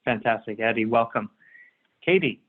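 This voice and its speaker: Guy hosting an online panel, stops and starts a lot, sounds like a phone call. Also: mid-range voice. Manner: hesitant, pausing often between phrases. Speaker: panel moderator. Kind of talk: webinar moderating. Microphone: remote call audio. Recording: tinny phone-like line.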